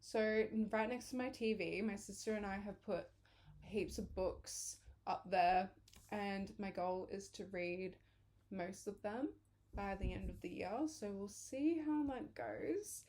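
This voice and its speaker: Young woman, early twenties, casual vlog chat, slightly hoarse, rambling and self-correcting. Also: medium pitch, slow pace, darkish tone. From England. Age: early 20s. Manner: chatty, rambling, corrects herself. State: hoarse, losing her voice. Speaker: vlogger.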